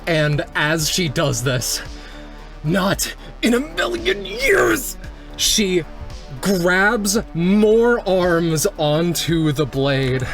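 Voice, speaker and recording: Male tabletop player, slightly high voice, unhurried and descriptive, music underneath. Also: very animated, vocal fry, a bit bright. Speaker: roleplaying game player. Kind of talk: tabletop play, narrating own character's actions. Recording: music playing behind. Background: background music.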